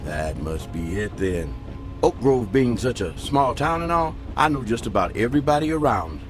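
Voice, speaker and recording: A man's voice: medium pitch, sing-song, moderate pace, over music, lightly acted. An actor playing a folksy, nosy small-town male taxi driver in a radio drama. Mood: chatty, prying. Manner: folksy, talkative, prying.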